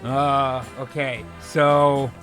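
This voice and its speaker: A man co-hosting an improvised comedy show, mixing casual, halting banter with story narration. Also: medium pitch, flat delivery, fairly bright tone, soft background music. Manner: profane, informal, halting asides.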